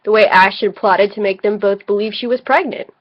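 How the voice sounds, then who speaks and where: A woman's voice: mid-range voice, fairly even intonation, fairly quick. A drunk woman reading a story aloud mock-dramatically as an amateur, breaking off for muttered critical asides, on a lo-fi home recording. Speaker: amateur co-reader of a fan story.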